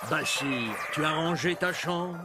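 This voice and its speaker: A man voicing an exasperated, theatrical character in an animated cartoon, grumbling and declaiming. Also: on the high side, measured pace, loud music behind the voice, natural voice. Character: exasperated father, and chatty grumbling demon of first rank.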